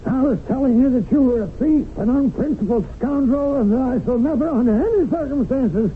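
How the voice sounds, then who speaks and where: An actor in a 1970s radio drama playing an excitable, gullible land buyer with a huffy, emphatic manner. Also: male voice, extremely high pitch, a little fast. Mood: indignant. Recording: tinny band-limited old radio broadcast, hissy.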